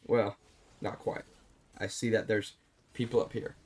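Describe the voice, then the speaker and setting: A man calmly walking through a replay of his own game, explaining his choices, with a slightly stuffed-up voice. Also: fairly low pitch, measured pace, breathy edge. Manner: calm, explanatory, self-deprecating. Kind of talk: gameplay commentary over a replay.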